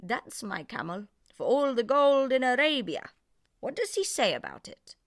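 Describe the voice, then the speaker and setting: A woman voicing a calm, commanding magical djinn in a children's storybook. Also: on the high side, normal speed, dry recording, studio quality, pitched above the performer's own voice. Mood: assured.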